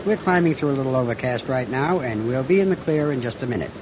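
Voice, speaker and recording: An actor playing a troubled but resolute airline captain in a classic radio drama, American, with a clipped, plain-spoken delivery. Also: male voice, medium pitch, animated, quick pace, background noise, natural voice. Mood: calm, official. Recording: dull lo-fi old radio recording.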